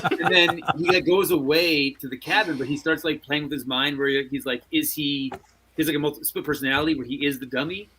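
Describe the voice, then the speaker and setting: A man's voice: fairly high pitch, steady tone, brisk, sharp tone, slight background noise, low-quality recording. A man chatting casually about pop culture as a podcast panelist.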